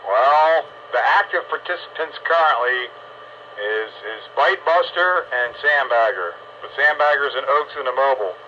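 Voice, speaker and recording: A man's voice: medium pitch, lively intonation, moderate pace, pushed and bright. A plainspoken, folksy man chatting casually over hobby radio. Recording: dull radio transmission with some noise.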